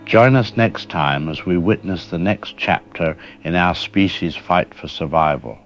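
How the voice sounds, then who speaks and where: Deep grave male announcer. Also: animated, highs cut off, faint music behind. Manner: slow, grave. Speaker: series announcer. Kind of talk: scripted outro narration.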